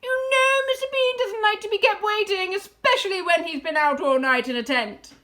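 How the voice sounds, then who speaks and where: An impatient, bossy storybook woman, put on by a female reader. She calls out loudly in a high, forceful voice, as if to someone elsewhere in the house. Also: moderate pace. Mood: impatient calling. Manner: loud calling. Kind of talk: children's storybook read aloud.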